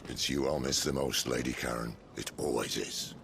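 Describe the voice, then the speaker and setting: A man playing a wry, gruff middle-aged rebel leader in a video game, with a British accent and dry authority. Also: a bass voice, steady tone, moderate pace, a bit bright, slight background noise, full character voice. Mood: teasing. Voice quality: gravelly. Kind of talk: cinematic video game acting.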